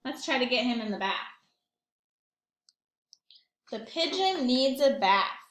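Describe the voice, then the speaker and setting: A woman's voice: a little high, very animated, slow, fairly bright tone, echoey room. A woman reading a picture book aloud to young children as a teacher would, expressively, with questions and asides to her listeners.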